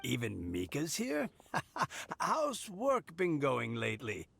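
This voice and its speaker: An actor playing a frail, kindly old man in a video game, with a creaky, halting voice and gentle chuckles. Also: mid-range voice, a little hiss or hum, heavily acted. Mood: startled. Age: older. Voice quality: creaky, aged voice. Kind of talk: video game cutscene acting.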